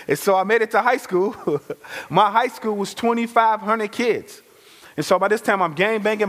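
A man giving a lively, conversational testimony sermon as a guest speaker, full of slang. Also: high voice. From Chicago. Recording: reverberant hall.

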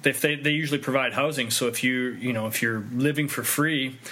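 Guy hosting a chill interview, mid pitch, loose chatty questions. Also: steady tone, a little fast, bright, forceful tone. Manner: conversational, asks rambling questions. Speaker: interviewer, host. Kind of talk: casual interview.

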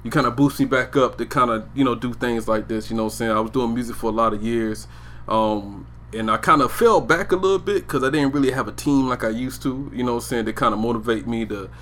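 A man's voice: medium pitch, fast talker, fairly bright tone, a little hiss or hum. Laid-back man rambling on a podcast. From Georgia, USA. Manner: laid-back slang, keeps saying "you know what I'm saying". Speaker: podcast co-host. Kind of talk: casual chat.

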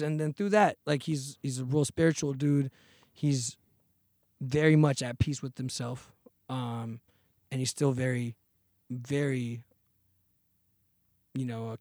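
A relaxed man in his thirties tells his story in loose, slangy chat, his voice sitting at an ordinary middle pitch. The sound is close and dry, with hardly any room around it. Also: no echo. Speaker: podcast guest, singer. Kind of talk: casual interview chat.

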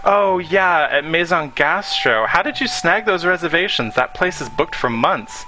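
A man's voice: a little high, lively intonation, a little slow, noisy background. A man voicing a laid-back, careless young boyfriend with an offhand, lazy way of talking.

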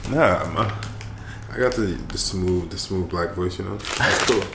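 A man's voice: low voice, measured pace, creaky. A man co-hosting a casual sports podcast, laid-back and agreeable as he chats. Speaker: podcast co-host. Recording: echoey room with faint noise.

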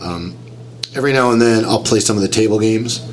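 A man's voice: lowish voice, a little fast, slight background noise. A man getting over a cold, sounding nasal and a little whiny, talking through a story in casual chat and explaining things step by step. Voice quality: slightly whiny.

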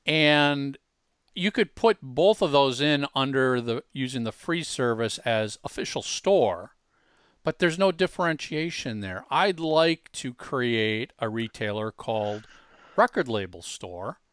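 Clear-voiced man hosting a podcast. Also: medium pitch, animated, fairly bright tone, no room sound, studio quality. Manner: emphatic, halting with repeated word starts. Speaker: podcast host. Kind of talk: conversational product demo.

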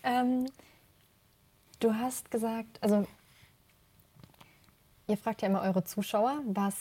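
A woman's voice: mid-range voice, mellow. A woman hosting a podcast interview, putting questions in a conversational way.

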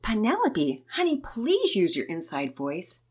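A woman's voice: mid-range voice, wildly swooping pitch, a little slow, breathy edge, exaggerated voice. A woman voicing an easygoing, affectionate father who explains things kindly in a children's story. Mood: gently chiding. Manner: kindly, explaining, gently chiding.

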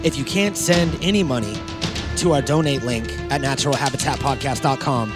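A man's voice: medium pitch, brisk, over loud music. A man hosting a comedy podcast in casual chat, joking, profane and rambling. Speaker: podcast host.

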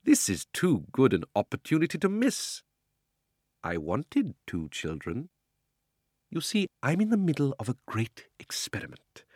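A sinister old gentleman magician from a children's storybook. He is oily and mock-courteous, swooping theatrically between drawn-out relish and sudden gushing, in a close, dry sound. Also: mid-range voice, wildly swooping pitch, husky, no room sound, voice pushed up, exaggerated voice. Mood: sly, menacing. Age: older. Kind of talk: dramatic audiobook character reading.

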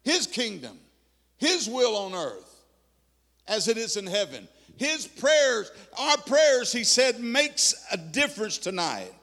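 A man preaching a fervent sermon to his congregation, his voice pitched very high and full of gravelly fry. He stammers, repeats himself and slips into sing-song cadences as he drives each point home. The sound rings with the long reverb of a church hall. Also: big pitch swings, takes it slowly, bright, forceful tone.

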